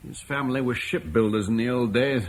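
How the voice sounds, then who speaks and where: A man's voice: medium pitch, a little fast, lightly acted. An actor playing Julian, a proud landowner refusing to leave his home, in an old radio play. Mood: uneasy. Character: proud Romano-British landowner Julian, stubborn. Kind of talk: 1950s BBC radio drama acting.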